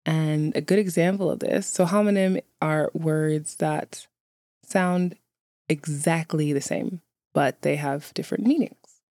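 A woman's voice: sits low, fairly even intonation, unhurried, dark tone, dry recording, clean studio sound. A woman who is a native English speaker, chatting casually to viewers as a vlogger in a wry, candid, self-deprecating way.

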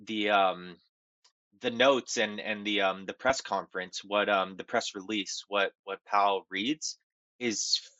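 A stuffy, nasal man talks through market news in a halting podcast chat, full of ums and restarts. His voice is bright and forceful but a little low. The recording is dry and slightly dull. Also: fairly even intonation, no echo. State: nasal from a cold. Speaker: podcast co-host. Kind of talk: casual market commentary.

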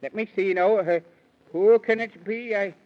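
A man's voice: sits high, fairly even intonation, normal speed, close to an everyday voice. An actor voicing a whimsical, fretful lion king in a 1930s children's radio play, with a dithering, theatrical delivery. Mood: overjoyed. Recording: muffled vintage 1930s radio recording.

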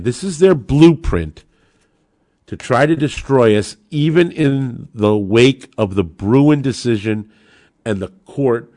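A man's voice: medium pitch, a little mellow, no room sound, clean studio sound. An American man hosting an opinionated political podcast, delivering an emphatic, combative monologue with rhetorical flourishes. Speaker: podcast host.

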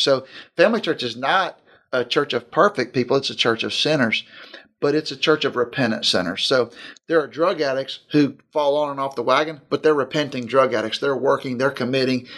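A man who is a pastor, speaking in a relaxed discussion. He talks earnestly, piling up long lists, at a middle pitch. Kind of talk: casual podcast discussion.

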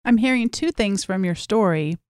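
A woman's voice: mid-range voice, lively intonation, moderate pace, darkish tone, smooth, no room sound. Brief: woman interviewer, dry close recording, affirming and reflective. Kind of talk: interview questions.

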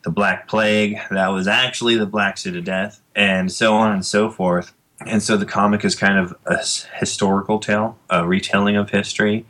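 Rambling thirty-something man, bright voice. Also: on the low side, flat delivery, moderate pace. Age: 30s. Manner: rambling, many fillers. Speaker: comic book creator guest. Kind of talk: casual podcast chat.

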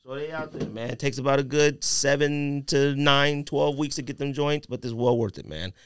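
A man hosting a casual sneaker podcast, talking in slangy, animated riffs and teasing his co-hosts. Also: medium pitch, normal speed, a little hiss or hum. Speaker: podcast host.

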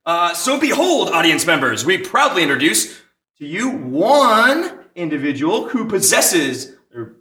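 A man's voice: high voice, sing-song, measured pace, pushed and bright. A man announcing a live radio-style comedy show as the hesitant, polite straight man, often stammering. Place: comic shop, live before audience. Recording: echoey live room recording.

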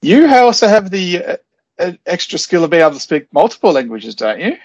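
A man's voice: sits high, very animated, a little fast, darkish tone, dry recording. A man hosting a podcast interview, asking short follow-up questions in a casual, friendly way. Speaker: podcast host. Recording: dry, slightly muffled podcast audio.

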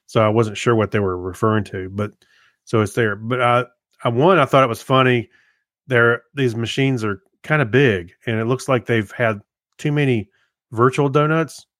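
Halting, folksy male podcast co-host. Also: mid-range voice, fairly bright tone, dry recording, studio quality. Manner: halting, repetitive, folksy joking. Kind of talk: casual podcast chat.

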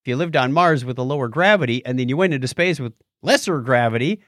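A man's voice: mid-range voice, lively intonation, fast, a bit bright, no room sound, studio quality. A man co-hosting a podcast, explaining news items in a casual, jokey, conversational way. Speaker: podcast co-host.

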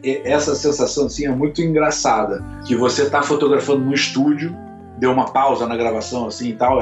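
A Carioca man telling stories in a casual interview, full of fillers, restarts and repeated words. His voice sits at a middle pitch. The room is echoey, with soft music playing underneath. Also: dull audio. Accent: Carioca Portuguese. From Rio de Janeiro, Brazil. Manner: animated storyteller, many fillers and repeated words. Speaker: guest, lighting photographer.

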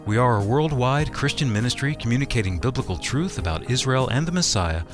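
A man's voice: medium pitch, expressive, fairly quick. A man announcing and co-hosting a radio program in a scripted broadcast style. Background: music playing under the voice.

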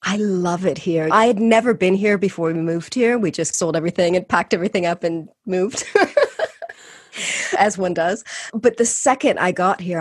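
A woman's voice: on the low side, husky, dry recording, studio quality. A woman guest in a casual interview, talking eagerly about long-distance walking and laughing often. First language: English. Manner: warm, enthusiastic, laughs often.

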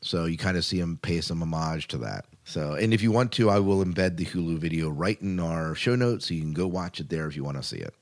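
A man's voice: deep voice, lively intonation, a little fast, few pauses. A man hosting a podcast, chatting casually about news in a conversational way, often rephrasing himself as he goes. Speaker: podcast host. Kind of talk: casual chat about science fiction news.

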